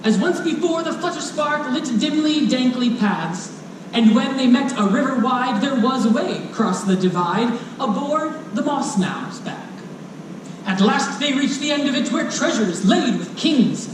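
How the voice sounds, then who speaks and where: A storyteller performing a rhyming children's book live, playful and dramatic, with drawn-out lines and asides. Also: high voice, animated. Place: live performance room at a convention. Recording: roomy, distant live hall recording with noise.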